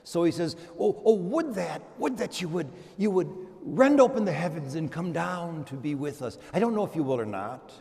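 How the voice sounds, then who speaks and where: A man's voice: fairly high pitch, lively intonation, normal speed, hardly any pauses, darkish tone. A male priest giving a sermon with emphatic, repeated phrases, recorded in a large reverberant church. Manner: emphatic, rhetorical repetition. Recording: echoing church hall, long reverb.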